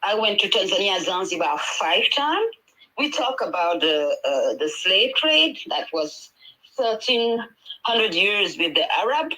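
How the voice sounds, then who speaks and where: A woman's voice: mid-range voice, big pitch swings, measured pace, bright, forceful tone, rough recording. A woman from Senegal with a Senegalese accent, a native Wolof speaker, speaking earnestly on a panel, rambling with fillers and restarts over a video call. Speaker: panel speaker, midwife and healer. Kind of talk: conversational talk on a panel.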